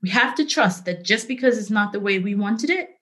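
A middle-aged woman speaking as an interview guest, telling candid work stories in a lively, conversational way, often asking "right?". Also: mid-range voice, fairly quick. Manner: animated, frequent "right?" and "like", laughs easily. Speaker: interview guest, business leader.

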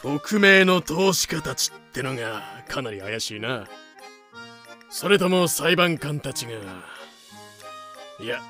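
A man plays a sly passing journalist in an audio drama. He has a bright, fairly high voice and talks in a casual, probing way over faint music. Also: animated, slow, long pauses, exaggerated voice. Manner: casual, probing, rough masculine speech. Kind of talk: Japanese audio drama acting.

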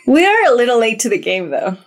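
A woman's voice: fairly high pitch, very animated, fast. A young woman co-hosting a podcast, chatting casually and enthusiastically, often laughing. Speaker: podcast co-host. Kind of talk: casual chat.

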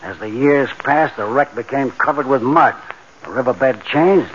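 An actor playing a tough, take-charge bank robber in a 1940s radio drama, with colloquial, commanding, streetwise talk. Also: male voice, medium pitch, animated, unhurried, fairly bright tone. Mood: explaining, intent. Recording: muffled, noisy old radio recording.

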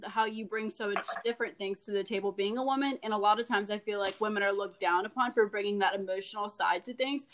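A woman's voice: medium pitch, a bit bright. A woman hosting a podcast interview, affirming her guest in long run-on sentences. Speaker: podcast host. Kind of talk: casual podcast interview talk.

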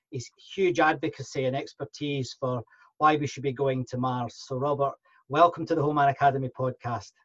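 A man's voice: a little high, highs cut off. A Scottish man hosting a conversational podcast interview in a polite, enthusiastic manner.

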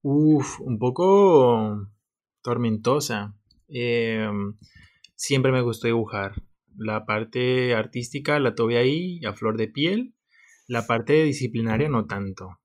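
A man's voice: medium pitch, slow, darkish tone. Young man, podcast co-host, playful and joking in casual talk. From Colombia. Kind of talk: casual chat.

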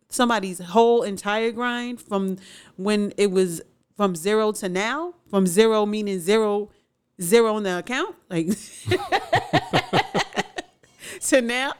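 Woman, co-host, relaxed banter, teasing, quick to laugh, mid pitch. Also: clear, resonant tone. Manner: playful, laughs often, teasing. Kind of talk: casual chat answering viewer questions.

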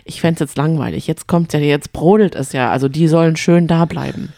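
A woman's voice: low-pitched, fairly quick, gravelly, warm tone, no echo, clean studio sound. A woman co-hosting a casual podcast, bantering in a chatty, teasing way. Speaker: podcast co-host. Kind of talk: casual banter recapping reality TV.

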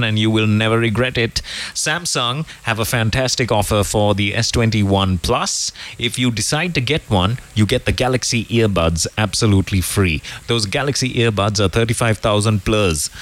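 A man's voice: on the low side, fairly bright tone. A man hosting a morning radio show, chatting casually and reading sponsor messages with a joking, rambling manner. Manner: jokey, rambling, self-correcting. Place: radio studio.

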